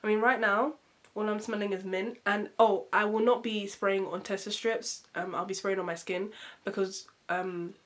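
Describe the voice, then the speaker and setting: A woman reviewing perfumes in a casual vlog. She sounds nasal and muffled from a cold, and she talks in a chatty, expressive way with lots of fillers. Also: mid-range voice, moderate pace. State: congested from a cold.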